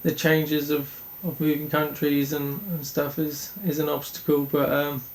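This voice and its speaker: A young man from Denmark, a speedway rider answering interview questions in an earnest, halting way with many restarts. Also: fairly high pitch, completely flat delivery, rough recording.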